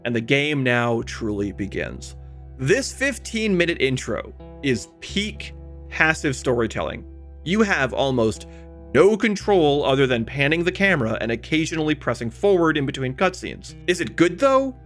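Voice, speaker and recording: A man narrates a video essay in a fairly high, very lively voice. His delivery is emphatic and conversational, sliding between scripted lines and casual asides. Faint music plays underneath. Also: normal speed.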